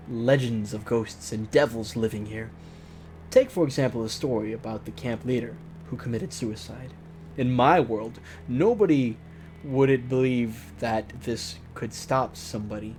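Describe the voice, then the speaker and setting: A man hosts a game let's play, reading story text aloud in a theatrical way. He drops out of narration into joking, exclamatory asides. The home recording has clear background noise. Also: medium pitch, darkish tone. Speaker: host reading a visual novel aloud.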